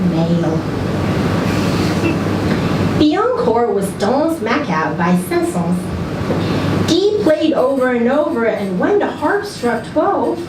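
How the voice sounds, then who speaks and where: Female live story reader, unhurried and wry, dramatic pauses, lively intonation; roomy, very noisy event recording. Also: medium pitch. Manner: measured, dramatic phrasing with deliberate pauses. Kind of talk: scripted first-person story reading, performed to a room. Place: indoor event venue, live before an audience. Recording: roomy, distant live recording, loud room noise.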